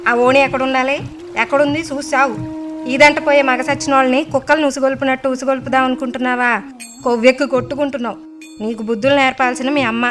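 A woman's voice: high-pitched, flat delivery, fast talker, natural voice. A woman playing a bitter, domineering older village woman who rants and insults in coastal Andhra Telugu, in an audio drama. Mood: scornful scolding. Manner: ranting, insulting, confrontational. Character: foul-mouthed, scolding elder village woman, bitter and domineering. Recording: loud music under the voice.

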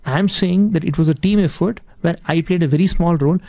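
Creaky-voiced Tamil Indian man, dry close sound. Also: fairly high pitch, brisk, mellow, dry recording. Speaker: interview guest. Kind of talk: earnest interview answers.

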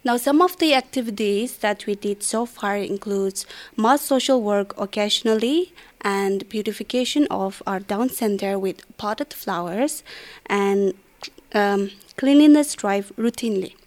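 A female teacher answers interview questions on air. Her delivery is formal and sounds prepared, and her voice sits at a middle pitch. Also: measured pace, darkish tone. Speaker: school English teacher.

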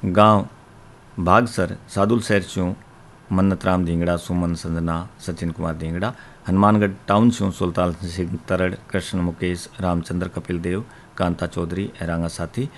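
A man's voice: deep voice, level tone, moderate pace, a little hiss or hum. A man with a Rajasthani accent hosting a radio request show, reeling off long lists of listener names and places. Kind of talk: reading out listener letters.

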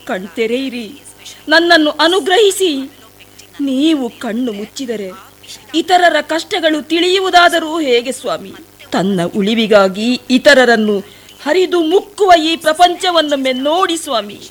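A woman's voice: high-pitched, expressive, slow, sharp tone, heavily acted. A woman voicing a grieving young forest woman in a devotional radio play, pleading tearfully and dramatically.

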